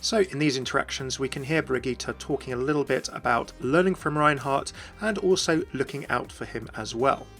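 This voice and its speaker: A man's voice: mid-range voice, fairly quick, creaky, hardly any pauses, fairly bright tone. Male video presenter, mid-range voice, explanatory fan commentary addressed to the audience, light music bed. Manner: explanatory, addresses viewers directly. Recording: voice-over with faint music behind.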